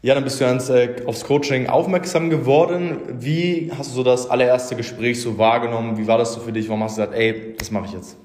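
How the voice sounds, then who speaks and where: A man hosts a relaxed interview from his office, filling pauses and repeating himself as he talks. His voice sits at a middling pitch. The room is echoey, with a long reverb hanging after each phrase. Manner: rambling, repeats words, many fillers. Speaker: fitness coach and host. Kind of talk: casual interview.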